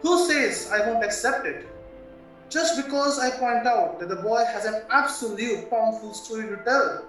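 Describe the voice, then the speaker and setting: Man acting senior barrister, blunt, worldly, audio play, bright voice, very echoey, off-mic. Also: extremely high pitch, expressive, moderate pace, soft background music. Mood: blunt, musing. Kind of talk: stage-style radio play acting. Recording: very echoey room, distant mic.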